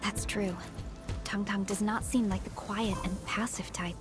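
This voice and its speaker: An actress playing a composed, vigilant female team leader in a sci-fi game, with a measured, authoritative manner. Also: mid-range voice, steady tone, over music, close to an everyday voice. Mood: calm, measured. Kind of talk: video game voice acting.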